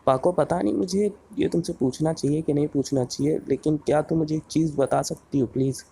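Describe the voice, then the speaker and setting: A man playing an earnest, excitable boy superhero in a cartoon dub, pleading and repeating himself when flustered. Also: on the high side, brisk, vocal fry, a little hiss or hum. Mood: hesitant, pleading.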